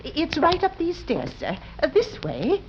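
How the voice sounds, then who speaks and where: A woman's voice: extremely high pitch, huge pitch swings, normal speed, exaggerated voice. A woman playing an anxious, deferential working-class landlady, hesitant and eager to please, in 1940s radio drama style. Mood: nervous, eager. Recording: muffled, noisy 1940s radio recording.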